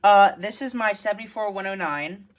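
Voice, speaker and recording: A woman's voice: mid-range voice, moderate pace. A woman giving a casual, blunt, informal show-and-tell tour of a collection as a vlogger. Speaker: vlogger showing a radio collection.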